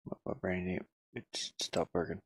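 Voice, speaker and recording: A man's voice: low voice, flat delivery, normal speed, breathy edge, dry recording. A man thinking aloud in a casual way as he works on his game, muttering to himself in short fragments. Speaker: solo indie game developer. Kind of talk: casual think-aloud screen-recording commentary.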